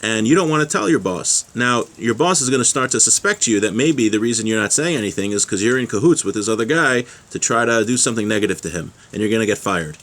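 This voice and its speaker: Male religious teacher, lowish voice, informal and explanatory, moves between reading aloud and plain commentary. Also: lively intonation, fast, hardly any pauses, fairly bright tone. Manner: explanatory, with rhetorical check-ins like "right?". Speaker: religious teacher giving a class.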